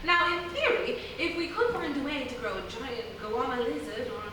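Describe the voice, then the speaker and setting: A woman's voice: high-pitched, animated, moderate pace. A woman playing a bookish, earnest professor, explaining things dryly in a live comic radio play. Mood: dryly pedantic. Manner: pedantic, explanatory, dry. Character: bookish female professor, dryly pedantic, earnest about monster science. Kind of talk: live radio theatre comedy acting. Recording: roomy live theatre, distant mic, noisy.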